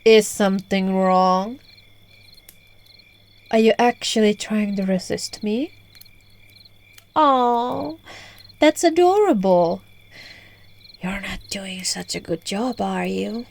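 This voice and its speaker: Woman playing a slow, seductive, controlling witch. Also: gravelly, a little mellow. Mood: amused, menacing. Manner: slow, coaxing, breaking into whispers and giggles. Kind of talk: ASMR roleplay. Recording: phone microphone recording, low quality.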